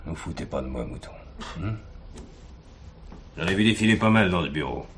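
An actor playing a blunt, sardonic, hard-nosed police commissioner who bullies a suspect in a French radio crime drama. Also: male voice, sits low, animated, fairly quick, a bit bright. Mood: hard, accusing. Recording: noisy old radio drama recording.

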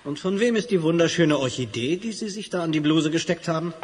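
An actor playing a casual, charming and direct crime-fighting hero in a 1980s radio play. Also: male voice, on the high side, expressive, fairly quick, a little hiss or hum, natural voice. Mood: insistent.

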